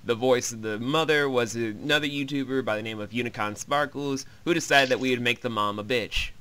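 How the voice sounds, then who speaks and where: A man's voice: medium pitch, brisk, pushed and bright, smooth. A man hosting a let's play, giving a casual, wry, rambling and sweary recap of a visual novel.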